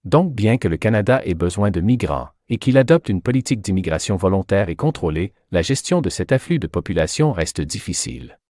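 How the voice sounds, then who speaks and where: A man playing a measured expert journalist who gives articulate, explanatory answers in a scripted interview. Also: low-pitched, very animated, darkish tone, no room sound, studio quality.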